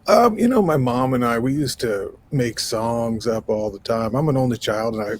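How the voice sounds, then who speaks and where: A man with a low, raspy voice talks as a podcast guest. He speaks in an animated, rambling way, with lots of "you know" and "like." He sounds like a relaxed man in his thirties chatting about his life. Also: normal speed, slight background noise. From New York State. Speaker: guest musician. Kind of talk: casual interview conversation.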